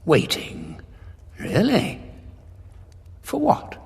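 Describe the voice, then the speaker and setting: Weary renegade traveller, rasping, unhurried, wry, questioning, big hall reverb, audio drama. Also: male voice, high voice, wildly swooping pitch, full character voice. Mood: wary curiosity. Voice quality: very husky, raspy. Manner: measured, questioning.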